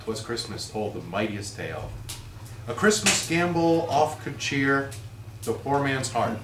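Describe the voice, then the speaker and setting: A man giving an expressive poetry recitation as a reader at a live event. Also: medium pitch, unhurried. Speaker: reader at a holiday readers theater. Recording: echoey room, distant mic, faint noise.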